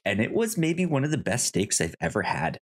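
A podcast co-host chatting casually about reviews, often repeating and restarting words in a halting way. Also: medium pitch, big pitch swings.

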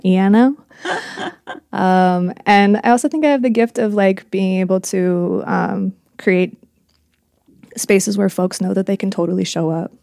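A woman co-hosting a podcast, chatting casually and reflectively, often pausing to search for words. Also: mid-range voice, steady tone, gravelly, warm tone, dry recording, clean studio sound. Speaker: podcast co-host. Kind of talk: casual conversational podcast chat.

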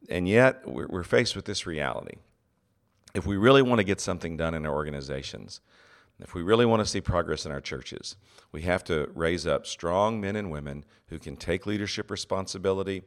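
A middle-aged man hosting a solo teaching podcast, his voice very deep and scratchy from a cold, speaking earnestly with many "uh" pauses. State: has a cold, congested. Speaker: podcast host, seminary president.

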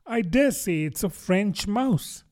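Man doing a young girl, storybook, high-pitched, curious, polite, earnest, chatty. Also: expressive, slow, a little mellow. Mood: curious, hopeful. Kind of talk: storybook character voice.

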